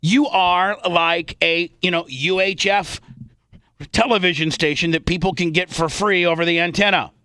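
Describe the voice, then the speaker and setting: A man's voice: high voice, normal speed, sharp tone, no echo. A man hosting a radio show with unscripted talk, blunt and salty in the way he speaks.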